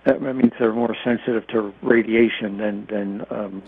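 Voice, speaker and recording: A man with an American accent explaining things informally and haltingly as an expert guest on a radio show, over a dull line. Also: on the low side, steady tone, vocal fry, husky. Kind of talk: explanatory interview talk. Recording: dull, band-limited radio call-in line.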